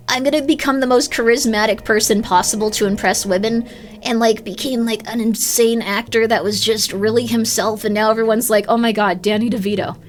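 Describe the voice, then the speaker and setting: A young woman chatting on a live stream, her slightly high voice jumping up and down as she talks. She rambles through viewer comments with loads of "like" fillers and an easygoing pace. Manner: rambling, lots of "like" fillers, laughs often, swings up and down in pitch.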